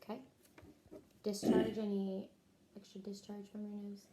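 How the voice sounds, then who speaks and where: A woman taking a health history, working briskly through a checklist of short clinical questions. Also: mid-range voice, monotone, noisy background. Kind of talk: clinical question-and-answer interview.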